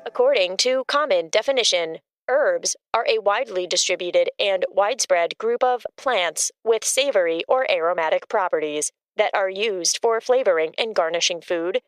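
Crisp-voiced deadpan woman. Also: mid-range voice, normal speed, hardly any pauses, dry recording. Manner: deadpan, precise. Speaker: fact-giving co-host. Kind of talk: scripted informational asides.

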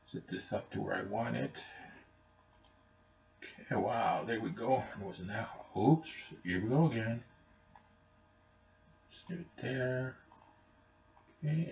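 Low-voiced middle-aged man, bright but distant. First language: Spanish is not his natural language; English speaker. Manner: plain-spoken, matter-of-fact, frequent throat clearing. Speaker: taxi driver explaining his service. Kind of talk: informal explanatory monologue. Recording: muffled webcam mic, distant.

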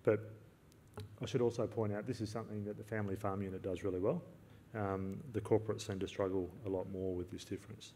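A middle-aged man with an Australian accent giving an explanatory conference presentation in a measured way, speaking at a lectern microphone. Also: lowish voice, little pitch movement, dark tone. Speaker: farm consultant presenting at a conference. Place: large indoor conference auditorium. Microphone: lectern gooseneck microphone. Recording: reverberant hall, clear background noise.

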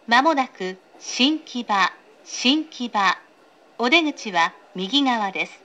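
A woman's voice: fairly high pitch, lively intonation, takes it slowly, bright, forceful tone. A woman reading a scripted, pre-recorded train announcement in a polite, formal, carefully enunciated way. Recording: recorded aboard a train, clear background noise.

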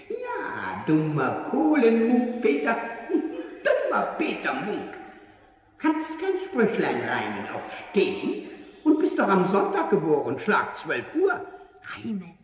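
An actor playing a tiny impish glass gnome in a storybook radio play, teasing and scolding with giggles. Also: male voice, sits high, huge pitch swings, slow, heavily acted. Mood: mocking, scolding. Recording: very echoey reverb, old vinyl radio play.

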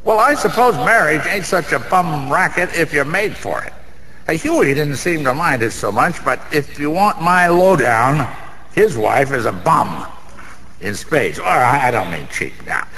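An actor playing a boozy, boastful middle-aged Broadway gambler in a radio drama, rambling in streetwise slang with a wisecracking manner. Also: male voice, a little high, animated, measured pace, bright, forceful tone, breathy edge, heavily acted. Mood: needling. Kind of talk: 1990s radio drama acting. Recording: reverberant hall, faint background noise.

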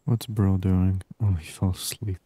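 A man speaking softly and breathily in an ASMR gaming style, murmuring short thoughts aloud close to the microphone. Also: deep voice, level tone, a little slow, dry recording. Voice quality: soft, hushed, breathy voice. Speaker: gaming streamer. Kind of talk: soft-spoken ASMR game commentary. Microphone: close binaural microphone.

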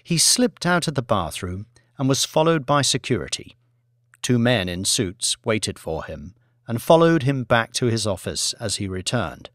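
A man reading fiction as an audiobook narrator, in a measured, dramatic storytelling manner. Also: mid-range voice, big pitch swings, fairly bright tone, no room sound, clean studio sound.

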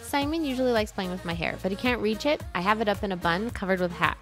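A woman in her thirties narrating her family's travel vlog in a chatty, lively way, laughing often. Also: mid-range voice, quick pace, faint music behind. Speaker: family vlogger, mother.

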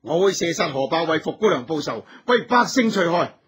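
An actor playing a resolute, caring heroic husband in a radio drama. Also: male voice, fairly high pitch, sing-song, brisk, fairly bright tone, slightly rough, full character voice. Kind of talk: Cantonese radio drama acting.

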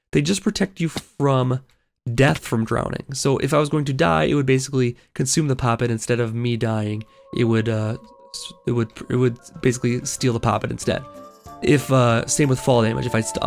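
Guy with a cold narrating his game, gravelly voice, lots of uhs, faint music under it. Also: mid-range voice. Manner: relaxed, thinks aloud with many fillers. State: getting over a cold. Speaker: gaming video host. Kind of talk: casual let's play commentary.

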